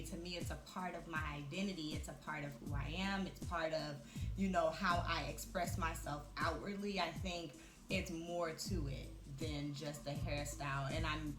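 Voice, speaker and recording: A woman in her thirties talking to camera in a reflective, chatty way, often pausing with fillers. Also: lowish voice. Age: 30s. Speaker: vlogger. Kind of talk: casual talk to camera. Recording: echoey room with music behind.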